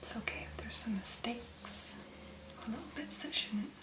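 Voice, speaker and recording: A woman doing a soft-spoken ASMR demonstration in a gentle, breathy, unhurried murmur with pauses. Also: fairly high pitch, roomy sound. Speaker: ASMR video creator.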